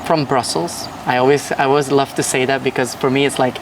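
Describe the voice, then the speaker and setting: A young man from Belgium, a guest on a podcast, tells his story eagerly in a relaxed chat. He sits a little back from the mic, and a faint hum runs beneath his voice. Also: mid-range voice, a little fast, far from the mic, rough recording. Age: young adult. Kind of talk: casual interview chat. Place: at a hotel.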